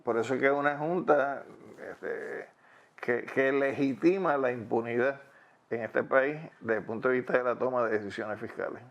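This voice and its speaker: A man answering interview questions in long, deliberate, argued sentences. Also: medium pitch, dull audio. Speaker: interviewee on fiscal policy. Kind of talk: measured explanatory interview talk.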